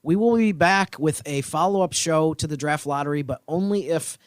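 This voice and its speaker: A man's voice: fairly high pitch, normal speed, clear, resonant tone, no echo. A man co-hosting a sports podcast, talking in an earnest, easygoing way. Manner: earnest, easygoing, says "you know" a lot. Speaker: team reporter, podcast co-host. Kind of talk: casual sports podcast chat.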